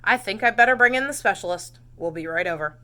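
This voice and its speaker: A woman voicing a brisk, pompous male doctor in a storybook, exclaiming and questioning in a deliberate clinical manner. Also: mid-range voice, lively intonation, sharp tone. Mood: pondering.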